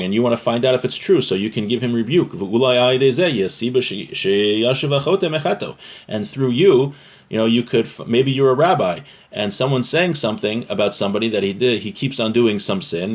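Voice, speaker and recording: Man teaching a religious text, conversationally. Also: medium pitch. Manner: conversational, repeats and rephrases points, asks rhetorical questions. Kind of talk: explanatory religious lesson reading and translating a text.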